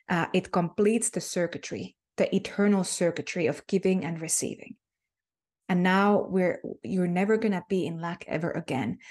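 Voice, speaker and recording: A woman's voice: fairly low pitch, darkish tone. A Finnish-accented woman, a guest on an interview, speaking earnestly about spiritual ideas and repeating herself with many fillers. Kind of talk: spiritual talk.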